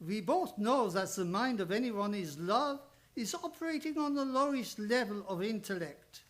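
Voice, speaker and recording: A man playing a fussy, shrewd elderly professor in a radio drama, speaking deliberate broken English with dropped articles. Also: high voice, lively intonation, fairly bright tone. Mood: firm, skeptical. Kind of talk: old-time radio drama acting.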